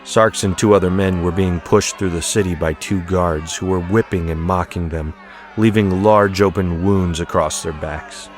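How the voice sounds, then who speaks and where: A man's voice: sits low, fairly even intonation, normal speed, slight background noise. A man narrating a dramatized audiobook with music behind him. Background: background music.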